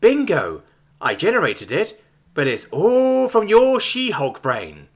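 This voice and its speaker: A guy voicing a chill surfer-bro medical robot for an audio story, high pitched, husky and bright, swooping wildly between notes, easygoing slang in every line. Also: wildly swooping pitch, slow, heavily acted. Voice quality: laid-back surfer slang. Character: cheerful bro-talking robot male nurse android.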